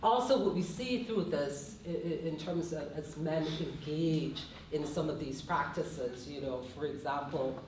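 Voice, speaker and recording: A woman's voice: sits low, a little slow, hardly any pauses, background noise. Guyanese-accented woman professor, thoughtful panelist, distant mic in a very echoey room. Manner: thoughtful, often adds "right?". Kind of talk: panel discussion talk.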